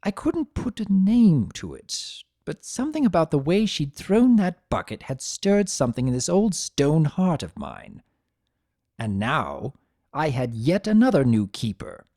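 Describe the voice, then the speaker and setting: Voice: mid-range voice, wildly swooping pitch, unhurried, warm tone, dry recording, studio quality, voice pushed up, full character voice. A performer voicing a wry, grumbling male spirit of chaos, sarcastic and theatrical, telling a story in the first person. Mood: wry, reflective. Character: Discord, sardonic, grumbling male spirit of chaos turned statue.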